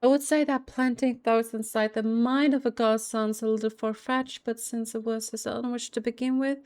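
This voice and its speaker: A woman's voice: on the high side, steady tone, moderate pace, a little mellow. A woman game streamer giving casual playthrough commentary and reading dialogue aloud, musing and reactive.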